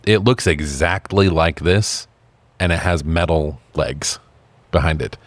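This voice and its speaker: A man's voice: a bass voice, a little slow, no room sound. A man co-hosting a casual podcast about films and games, talking bluntly and swearing casually. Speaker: podcast co-host reviewing films. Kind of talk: casual film and game discussion.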